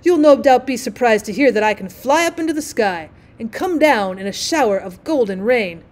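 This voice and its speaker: A woman's voice: a little high, expressive, moderate pace, a little mellow, lightly acted. A woman voicing a vain male firework rocket in a storybook, with a haughty, boastful, declamatory manner.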